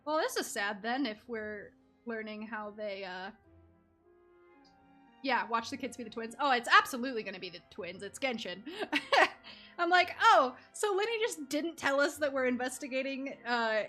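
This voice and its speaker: Bright, high-voiced woman streaming a game. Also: animated, normal speed, soft background music. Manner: giggly, reactive, teasing. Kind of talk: casual live game commentary.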